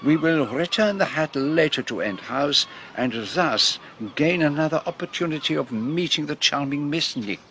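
A man's voice: a little high, expressive, normal speed, few pauses, bright, forceful tone, a little hiss or hum. An actor playing a shrewd, vain older male detective with a Belgian French accent and a courtly, teasing, precise manner in a radio drama. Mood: playful, wry.

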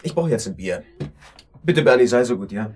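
A man's voice: medium pitch, lively intonation, moderate pace, darkish tone, close to an everyday voice. An actor playing an irritable, self-assured man on holiday in a naturalistic radio drama, sardonic and insistent. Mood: irritated, sardonic.

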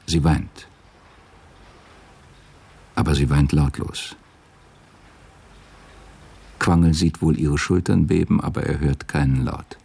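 A man narrating a literary radio drama in a measured, sombre way, with a gravelly, husky voice. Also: a bass voice, fairly even intonation, darkish tone.

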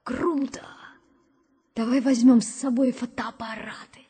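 A woman's voice: fairly high pitch, steady tone, a little slow, warm tone, breathy edge. An actress in a radio play playing a wide-eyed, playful unborn girl, wondering and eager for life in short childlike phrases.

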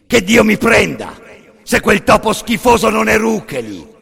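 A man's voice: high voice, sing-song, unhurried, creaky, fairly bright tone, husky, voice pushed up, full character voice. A man on stage playing a brutal ex-boxer camp boss who bellows taunts with menace. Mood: vicious boasting. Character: brutal sneering male camp kapo, ex-boxer bully. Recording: long reverberant hall.

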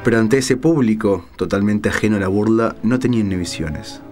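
A male narrator with a fairly deep voice reads dramatic literary fiction. His delivery is scripted storytelling, and music plays underneath. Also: a little fast, darkish tone.

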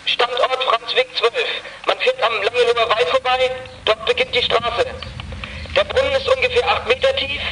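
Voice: mid-range voice, steady tone, moderate pace, pushed and bright. An actor playing an anxious young boy in a German children's radio play, calling for help over CB radio. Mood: urgent, worried. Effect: CB radio transmission. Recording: noisy CB radio transmission.

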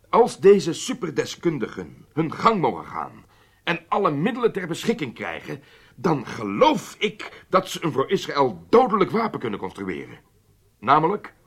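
An actor playing an obsessed, resolute Israeli intelligence chief, speaking gravely and emphatically in formal Dutch radio drama style. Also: male voice, mid-range voice, exaggerated voice.

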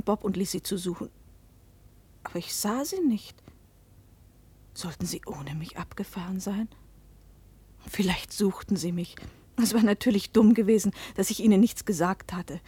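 An actress in a German radio play as a guarded, dreamy, troubled woman, breathy and introspective, with measured literary delivery. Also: mid-range voice, dark tone. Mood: uneasy, fearful. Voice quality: breathy, often dropping to a whisper.

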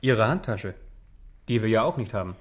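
A man's voice: on the low side, lively intonation, normal speed. An actor in a radio play playing an eager police detective, earnest and respectful, a little hesitant with his superior. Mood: deferential, earnest. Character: eager, deferential male police detective proposing ideas to his chief.

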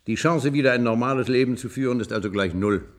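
An actor playing a proud, bitter, ailing old ex-surgeon in a radio play, earnest and stubborn, hesitant then insistent. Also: male voice, mid-range voice, quick pace, close to an everyday voice. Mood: stubborn, grave. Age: older. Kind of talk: German science-fiction radio play acting.

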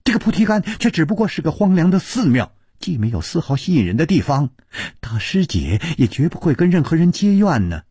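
A man's voice: a little high, big pitch swings, fairly quick, warm tone, husky, dry recording, studio quality, pitched above the performer's own voice, full character voice. A man doing an anxious young woman disciple. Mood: earnest. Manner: earnest, worried. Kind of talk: audiobook storytelling.

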